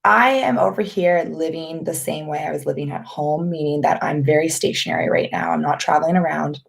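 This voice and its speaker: Low raspy gravelly woman, chatty. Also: fairly quick. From Canada. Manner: relaxed, rambling, with many ums and restarts. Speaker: vlogger hosting a live Q&A. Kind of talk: casual live-stream chat reading viewer questions.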